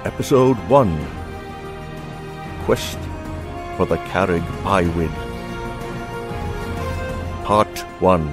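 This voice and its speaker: A man's voice: low-pitched, expressive, very slow, long pauses, music in the background. A man announcing the titles of a radio serial in a scripted style.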